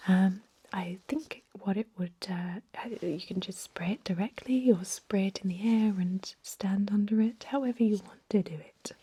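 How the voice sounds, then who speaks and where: A woman playing a calm, reassuring ancient vampire medic in a whispered ASMR roleplay, speaking gently in a breathy whisper. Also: medium pitch, a little hiss or hum, lightly acted. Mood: soothing, reassuring. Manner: gentle, unhurried, hesitant with soft explanations. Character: calm, reassuring thousand-year-old female vampire medic.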